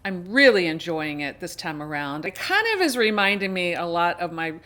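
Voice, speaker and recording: A woman's voice: fairly low pitch, expressive, normal speed, a bit bright. A middle-aged woman chatting casually to her viewers as a book vlogger, rambling along with emphatic turns of phrase. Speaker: book reviewer and video host.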